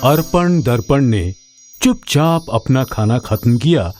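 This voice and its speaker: A man narrating a scripted children's story in an expressive storytelling manner. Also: mid-range voice, slow, soft background music.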